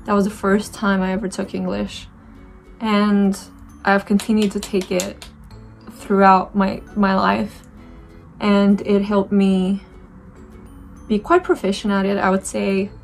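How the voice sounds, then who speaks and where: Gravelly-voiced young Romanian woman, reflective. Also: medium pitch, little pitch movement, a little slow, long pauses, soft background music. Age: 20s. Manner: reflective, rambling, many fillers and restarts. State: dry throat. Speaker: beauty vlogger. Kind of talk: casual chat while doing makeup.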